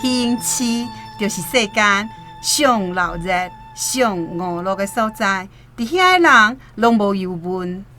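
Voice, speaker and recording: A woman's voice: mid-range voice, lively intonation, slow pace, bright, forceful tone. A woman acting in a Taiwanese radio drama as a vain, pleasure-seeking society wife, showy and self-satisfied. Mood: boastful, inviting. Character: vain, fashion-loving, pleasure-seeking married society lady. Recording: music and jingles behind voice.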